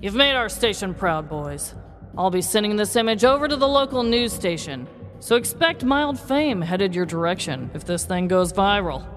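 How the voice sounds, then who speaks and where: A woman's voice: medium pitch, expressive, moderate pace, natural voice. Proud small-town police chief, played by a woman, folksy mock-serious authority, long reverb over a music bed.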